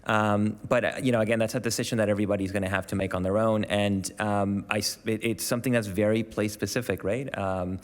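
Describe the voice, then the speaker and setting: A man's voice: on the low side, fairly even intonation, fast, hardly any pauses. A man from Venezuela answering questions as a panel guest, hesitant, with many fillers and restarts. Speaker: panel guest, media organisation staff. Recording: long hall reverb.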